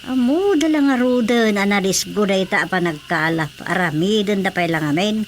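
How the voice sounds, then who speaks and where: A woman's voice: mid-range voice, moderate pace, a little hiss or hum, natural voice. An actress playing a concerned, practical fisherman's wife in a radio drama, anxious and homely. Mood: resigned, practical.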